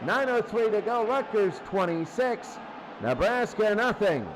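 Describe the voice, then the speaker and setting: High voiced guy doing football play by play, clipped phrases, noisy broadcast. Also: sing-song, measured pace. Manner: clipped, repetitive short phrases. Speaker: play-by-play sports announcer. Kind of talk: live American football commentary.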